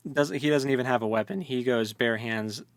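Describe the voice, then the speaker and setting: A man's voice: medium pitch, a little fast. A man co-hosting a podcast, retelling a story in casual, slangy, jokey conversation. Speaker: podcast co-host. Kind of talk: casual book discussion chat.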